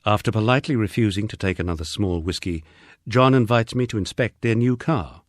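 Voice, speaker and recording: A man's voice: fairly low pitch, fairly quick, no echo, clean studio sound. A man reading a memoir as a scripted audiobook narration.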